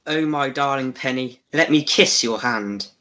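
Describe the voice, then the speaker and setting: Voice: medium pitch, unhurried, bright, forceful tone. An actor playing an oily, pushy male villain wooing in a melodramatic radio play, smarmy and domineering. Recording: dull lo-fi recording.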